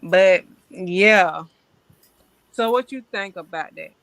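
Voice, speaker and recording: Female panel guest, Southern US accent, bright smooth voice, earnest and conversational, casual advice talk. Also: mid-range voice, slow, rough recording.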